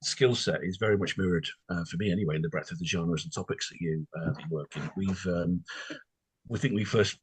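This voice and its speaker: A man hosting a casual interview, asking long, halting questions with asides along the way. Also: deep voice, husky, no room sound, rough recording. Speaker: podcast host, interviewer.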